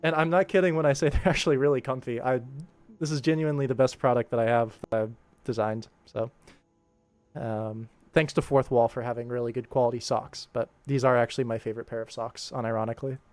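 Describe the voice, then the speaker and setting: A man's voice: mid-range voice, fairly even intonation, fast talker, darkish tone, dry recording. A man chatting casually as a livestream host while he works, with a dry, tongue-in-cheek sense of humour. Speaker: livestream host, animation director. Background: music.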